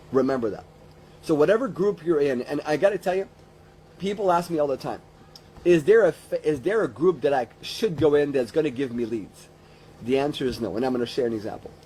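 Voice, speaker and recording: Man, fifties, mid-pitched voice, informal motivational coach, rambling, repeats for emphasis, faint background noise. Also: a little mellow, rough recording. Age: 50s. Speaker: business coach. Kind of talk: informal motivational sales training talk.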